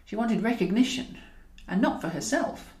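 A British woman narrating a comic novel in a wry, expressive way, as a scripted audiobook reading. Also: a little high, normal speed. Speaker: author and narrator. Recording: roomy, echoing voice recording.

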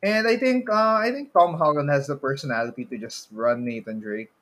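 A man co-hosting a casual podcast chat, feeling out his phrases haltingly with repeated words. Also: fairly high pitch, fairly bright tone. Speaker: podcast co-host. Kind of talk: casual chat about movies and games.

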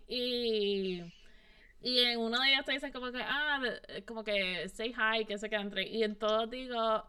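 A woman's voice: on the high side, unhurried, pushed and bright, clean tone. A woman co-hosting a podcast, chatty and laughing easily as she switches between Spanish and English. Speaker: podcast co-host. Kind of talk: casual podcast chat.

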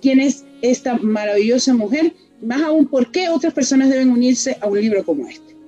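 A woman's voice: sits high, animated, moderate pace, mellow, lo-fi sound, soft background music. A woman hosting a radio show, effusive and welcoming, piling on superlatives as she introduces a guest. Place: Toronto.

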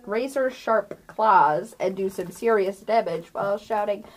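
A woman narrating a tabletop game as game master, casually improvising in an animated, playful storytelling manner. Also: mid-range voice, unhurried.

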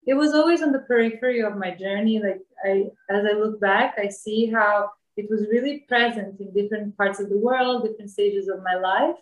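A woman's voice: medium pitch, normal speed, hardly any pauses. Echoey, thoughtful woman from Bosnia. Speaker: interview guest. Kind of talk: reflective casual interview talk. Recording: echoey room sound.

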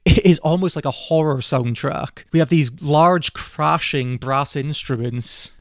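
A man hosts a casual film discussion. His voice is a bit high for a man and has plenty of vocal fry. It is recorded very dry and close. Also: measured pace, no echo. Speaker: film podcast host.

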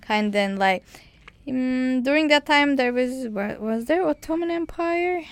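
A woman's voice: high-pitched, measured pace, clear, resonant tone, no echo. A young woman from Turkey with a Turkish accent, chatting casually in a second language, searching for words and leaning on "like" fillers. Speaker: conversation partner. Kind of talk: casual chat.

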